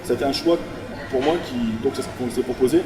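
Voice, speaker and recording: Brief: male manager recalling his apprenticeship, fast, filler-heavy, echoey room. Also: mid-range voice, distant mic. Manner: fast, hesitant with many fillers. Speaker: national events manager, former apprentice. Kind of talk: corporate video testimonial.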